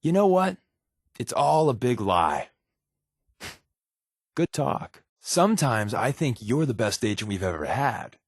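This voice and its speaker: An actor playing a wisecracking undercover agent in a post-nuclear wasteland, speaking with wry, sarcastic quips as video game companion lines. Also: male voice, medium pitch, very animated, a little slow, pauses often, slightly rough, dry recording, studio quality, exaggerated voice. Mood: guarded, wry.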